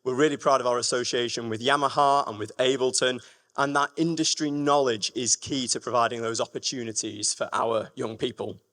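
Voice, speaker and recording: A man's voice: medium pitch, fairly even intonation, brisk, a bit bright. A man from gives a public presentation, addressing the room directly with emphatic phrasing. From Lancashire, England. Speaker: music education manager giving a talk. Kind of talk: public presentation to a live audience. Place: large reverberant room at a live event. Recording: echoey hall with long reverb.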